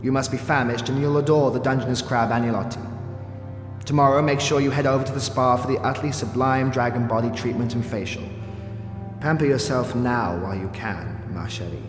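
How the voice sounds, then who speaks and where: A man acts a worldly older mentor voicing a letter in an audio drama. He is fatherly, genteel and measured, tender with regret, his voice echoing in a long reverb over music. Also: medium pitch, close to an everyday voice. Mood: fond, indulgent.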